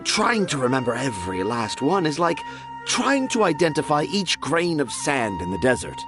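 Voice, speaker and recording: A man's voice: mid-range voice, very animated, normal speed, over music, lightly acted. An actor playing a flamboyant, effusive male storyteller in a video game, doting and self-important, with florid, theatrical phrasing. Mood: effusive, musing. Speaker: storybook author character.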